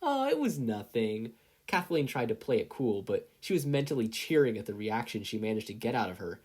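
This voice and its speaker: A narrator reading a scripted story aloud in an expressive storytelling manner. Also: medium pitch, brisk, husky. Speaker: podfic narrator.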